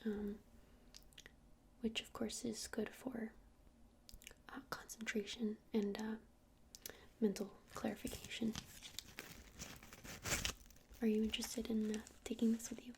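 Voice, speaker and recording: Female, ASMR witch shopkeeper roleplay: close breathy whisper, even and unhurried, slightly hesitant, some fry, faint noise. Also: a little high. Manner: hesitant, unhurried, soft-spoken. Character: gentle witch minding a crystal shop.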